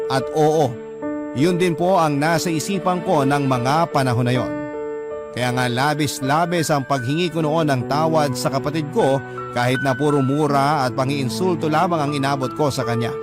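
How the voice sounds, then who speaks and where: A man reading a confessional letter aloud as first-person dramatic radio narration. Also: on the high side. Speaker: radio story reader. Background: background music.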